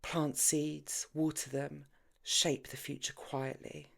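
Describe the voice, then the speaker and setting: A woman's voice: deep voice, level tone, takes it slowly, gravelly, a bit bright, heavily acted. A woman voicing a mischievous, free-spirited, tipsy woman with a dramatic, insistent manner in an audiobook. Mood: hushed, intent.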